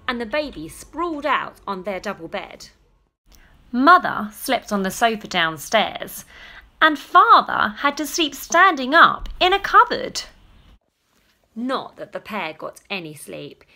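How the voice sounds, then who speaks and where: A woman narrates a children's story in a bright, fairly high voice. She reads dramatically and emphatically, stretching out key words and taking her time. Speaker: storybook reader.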